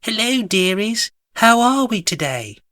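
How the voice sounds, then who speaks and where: A man's voice: high-pitched, big pitch swings, unhurried, bright, forceful tone, no echo, studio quality, pitched above the performer's own voice, full character voice. A man voicing a cheery, dreamy, genteel woman in a chirpy, gushing, sing-song manner for a story. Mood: sweetly fussy.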